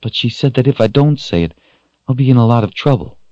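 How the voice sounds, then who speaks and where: A man's voice: mid-range voice, animated, fast talker, darkish tone, dry recording, dull audio, pitched above the performer's own voice, lightly acted. A man voicing a small, timid, kind-hearted servant girl in a storybook narration. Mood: earnest, worried.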